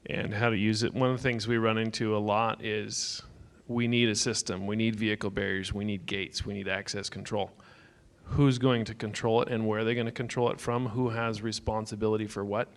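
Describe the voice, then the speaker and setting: A man's voice: on the low side, flat delivery, a little fast. A man speaking on a conference panel, explaining technical points in a matter-of-fact way. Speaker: security consultant on a panel. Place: conference stage.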